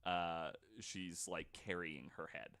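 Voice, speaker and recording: A man's voice: deep voice, steady tone, slow pace, fairly bright tone, clear, resonant tone. A man hosting a podcast, telling stories casually from notes with informal, sweary asides. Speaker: podcast host.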